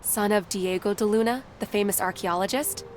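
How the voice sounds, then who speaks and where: A woman's voice: mid-range voice, a little fast, a little hiss or hum, natural voice. An actress playing a polite, inquisitive female newspaper reporter in a video game, speaking in a probing way. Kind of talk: video game character dialogue.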